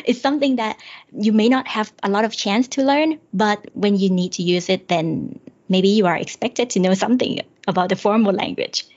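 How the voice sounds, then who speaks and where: A woman's voice: mid-range voice, normal speed, darkish tone. Thoughtful woman, muffled lo-fi sound. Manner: thoughtful, explaining, asks questions. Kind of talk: casual chat. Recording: dull lo-fi sound.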